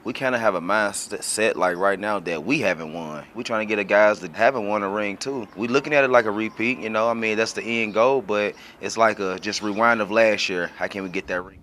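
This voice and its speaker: A man's voice: on the low side, a little fast, few pauses, bright, forceful tone, slight background noise. A male athlete answering questions casually and colloquially in a post-game interview. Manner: informal, colloquial. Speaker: football player being interviewed.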